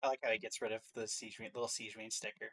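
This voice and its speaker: A man's voice: fairly low pitch, level tone, fast talker, pushed and bright, breathy edge. A man hosting a reaction video in a casual, chatty style.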